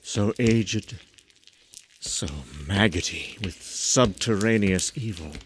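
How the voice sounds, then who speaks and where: A man performing a haunted, horror-struck narrator of eldritch horrors in a theatrical, deliberate gothic style. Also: on the low side, slight background noise. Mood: hushed dread. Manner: theatrical, deliberate, swinging from hushed whispers to anguished cries.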